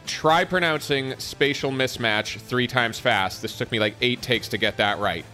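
A male podcast host with a bright, forceful tone reads his intro and drops wry, dry asides along the way. Faint music sits under his voice. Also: medium pitch, unhurried. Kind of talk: scripted podcast intro and interview.

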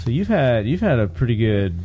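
A man's voice: medium pitch, very animated, a little fast, warm tone, slight background noise. A man hosting a casual podcast conversation, chatty and asking his guests questions. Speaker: podcast host. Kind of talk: casual interview chat.

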